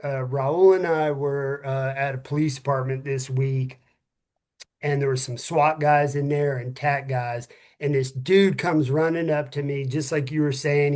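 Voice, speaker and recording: A middle-aged man co-hosting a first responder podcast, talking earnestly and candidly with friends, casual and given to swearing. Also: on the high side. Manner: earnest, candid, salty-tongued. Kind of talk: casual heartfelt talk among friends.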